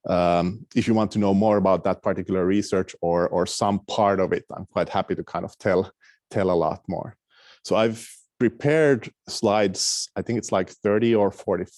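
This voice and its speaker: A middle-aged Finnish-accented man gives an academic lecture over a video call. His voice sits low, and the sound is close and dry. He speaks hesitantly, often restarting his phrases. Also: darkish tone, dry recording. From Finland. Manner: hesitant, many fillers and restarts. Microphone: video call microphone.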